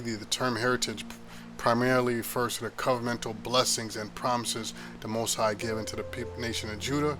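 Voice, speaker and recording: An American man teaches scripture in a loose, conversational way, pressing his points with emphasis and often stopping to restart a sentence. His voice is bright and forceful, sitting at an ordinary pitch for a man. Soft music plays faintly behind him. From United States. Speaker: Bible teacher. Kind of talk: informal Bible lesson.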